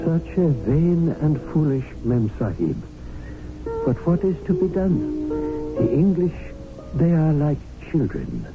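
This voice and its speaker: An actor playing a deferential, privately wry Indian manservant who speaks in formal, ornate phrases in a radio drama. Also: male voice, a little high, huge pitch swings, slow pace, heavily acted. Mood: wry, pitying. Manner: formal, ornate, archaic speech. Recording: tinny, band-limited old radio broadcast, music behind.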